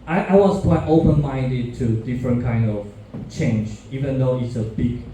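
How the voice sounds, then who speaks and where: A man in his thirties from Taiwan giving a talk to university students, halting, with many restarts and fillers. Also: mid-range voice, dark tone, distant mic, a little hiss or hum. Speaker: guest speaker. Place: university classroom. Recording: echoey lecture room from afar.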